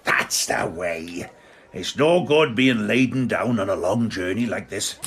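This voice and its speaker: A man's voice: fairly low pitch, animated, unhurried, heavy background noise. A man playing a rustic, bossy quest leader with a British accent and a blunt, scolding manner in a comic audio drama. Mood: brisk, approving.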